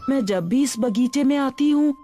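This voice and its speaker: A woman's voice: high voice, moderate pace, dark tone, faint music behind, natural voice. A woman voicing a bewildered, plain-spoken young village girl of marrying age in a storybook tale, dramatic and questioning. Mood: wondering.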